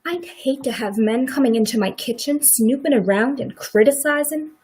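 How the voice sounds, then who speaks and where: A woman's voice: a little high, moderate pace, a little mellow, slight background noise, close to an everyday voice. A woman playing a guarded, defensive farm wife in a live radio play, with a dry, pointed way of speaking. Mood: resentful.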